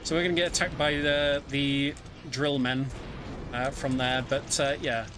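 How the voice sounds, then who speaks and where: A man's voice: mid-range voice, level tone, unhurried, background noise. A man giving casual live speedrun commentary in a chatty, hesitant way. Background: video game music.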